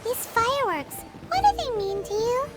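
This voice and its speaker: A woman voicing a tiny, excitable floating companion creature in a game cutscene, gushing and wide-eyed. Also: very high voice, moderate pace, heavily acted. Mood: excited, curious. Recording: loud music behind.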